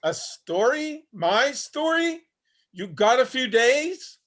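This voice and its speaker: Male actor as weary 73-year-old homeless war veteran, sardonic and blunt, very high-pitched for a man, stage play reading. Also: sing-song, slow, a bit bright, exaggerated voice. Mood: weary, defiant. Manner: wry, blunt, streetwise. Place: remote video-call reading.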